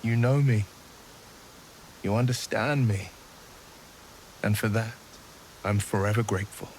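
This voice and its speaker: An actor playing an earnest, noble young adventurer in a video game, with a heartfelt and eloquent delivery. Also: male voice, lowish voice, unhurried, a little mellow, a little hiss or hum, natural voice, poor audio. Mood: regretful, grateful. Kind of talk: dramatic video game acting.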